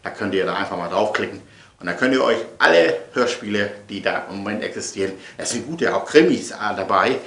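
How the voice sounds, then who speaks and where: Bright, echoey middle-aged man rambling emphatically. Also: on the low side, animated, a little fast, vocal fry. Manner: emphatic, theatrical, repeats phrases. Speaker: vlogger and audio play producer. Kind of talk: casual, rambling monologue to viewers. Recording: echoey room.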